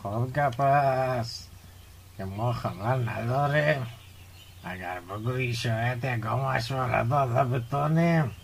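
A man's voice: medium pitch, gravelly, slight background noise, lightly acted. An actor playing a friendly, gossipy village man in a radio drama, speaking Dari with an Afghan accent. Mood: welcoming, curious.

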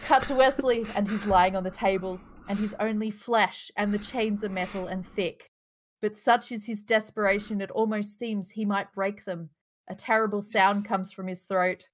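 A woman's voice: mid-range voice, steady tone, normal speed, slight background noise. Woman narrating a script at a table read. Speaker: narrator reading screenplay directions. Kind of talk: live table-read narration of stage directions. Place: indoors, on a group video call.

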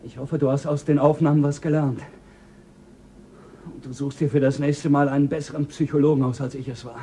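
A man's voice: a little high, level tone, fairly quick, mellow, slight background noise, lightly acted. A man playing a bitter, doomed astronaut. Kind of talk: 1970s German radio drama.

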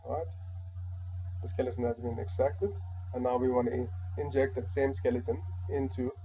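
A man with a slightly hoarse voice from a flu, calmly walking through a modding software tutorial step by step, with frequent "alright" and "uh". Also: medium pitch, normal speed, muffled recording, slight background noise. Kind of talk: screen-recorded software tutorial.

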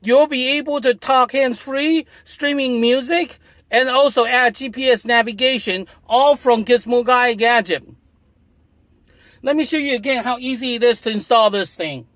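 A man's voice: very high-pitched, normal speed, a bit bright, dry recording. A middle-aged man demonstrating a gadget from inside a parked car, explaining in a repetitive, salesy way. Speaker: product demonstrator. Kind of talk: product demo.

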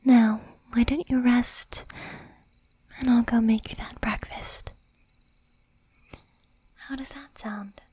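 A woman playing a tender, possessive young demon girlfriend in an affectionate, whispered ASMR roleplay, soft and breathy. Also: a little high, fairly even intonation, takes it slowly, pauses often, exaggerated voice. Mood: gently confident.

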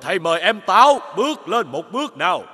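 A man's voice: sits high, very animated, fast talker. A man voicing an encouraging schoolteacher who makes formal announcements in a children's cartoon. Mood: announcing. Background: loud music.

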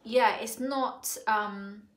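Woman in her 40s from Thailand, home review vlogger; unhurried, thinking aloud, filler-heavy, roomy living-room sound. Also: fairly high pitch, fairly even intonation. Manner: slow, musing, many fillers and restarts. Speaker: perfume reviewer. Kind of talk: casual spoken review. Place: living room sofa, indoors. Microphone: camera in front at close range. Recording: echoey room.

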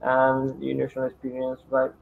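A man's voice: medium pitch, completely flat delivery, normal speed, gravelly. A middle-aged man from Argentina with a Spanish accent, presenting over a video call in hesitant English full of fillers. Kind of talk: online conference presentation. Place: home study with bookshelves. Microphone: webcam video call. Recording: dull lo-fi video call audio.

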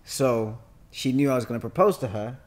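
A man's voice: mid-range voice, moderate pace. London man telling stories casually. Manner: animated, bantering, peppered with slang. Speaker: podcast guest, actor. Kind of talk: casual conversational storytelling. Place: bright living room, on a sofa. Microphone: podcast mic on a boom arm.

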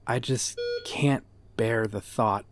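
A man acts out a devoted detective weighed down by guilt, in a dramatic ASMR audio roleplay. The mid-pitched male voice is earnest and brooding. The delivery is halting, with thoughts set out piece by piece. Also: steady tone. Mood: sorrowful, pleading. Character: guilt-ridden, devoted male detective hunting a serial killer.

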